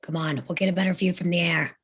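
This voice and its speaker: A woman playing a tough, no-nonsense female soldier who is curt and commanding, in an animated film table read. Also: lowish voice, fast, slightly rough.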